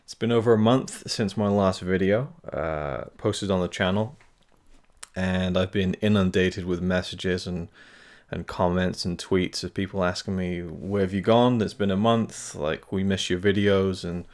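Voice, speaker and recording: Man, very deep voice, casual vlog update, rambling with fillers, speaking gingerly through tooth pain. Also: steady tone, measured pace, a little mellow. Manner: rambling, hesitant, many fillers and restarts. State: talking carefully because of tooth pain. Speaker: film review channel host. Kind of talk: casual channel update to camera.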